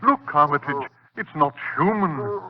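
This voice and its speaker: A man's voice: on the high side, lively intonation, unhurried, slight background noise. A man playing a terrified, overwrought professor in 1940s radio horror, agitated and melodramatic. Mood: aghast. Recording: muffled 1940s radio recording.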